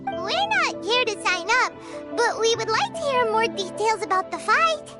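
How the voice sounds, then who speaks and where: A woman's voice: big pitch swings, normal speed. A woman voicing a tiny, eager, childlike floating companion creature in a video game. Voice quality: very high, childlike. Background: game music.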